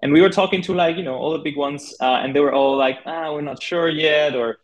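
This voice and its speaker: A man from Argentina speaking as a podcast guest in a casual, conversational way, informal and halting, with plenty of filler words. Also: on the high side, poor audio. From Argentina (Buenos Aires). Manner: informal, halting, peppered with 'like' and 'you know'. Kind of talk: casual conversational interview, telling own career story.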